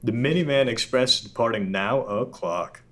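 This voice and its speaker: A man's voice: mid-range voice, moderate pace, a bit bright, pitched above the performer's own voice, natural voice. Man playing an overly peppy cartoon dad. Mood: cheery, doting. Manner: peppy, eager. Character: overly optimistic forties dad, cheery and doting. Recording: noticeable background noise.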